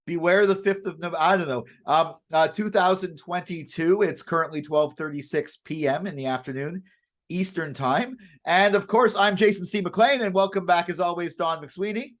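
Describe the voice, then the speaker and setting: Halting man, slightly high voice. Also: fairly bright tone. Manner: halting, repeats and restarts phrases often. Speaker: co-host of a weekend current affairs show. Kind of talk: casual unscripted news chat.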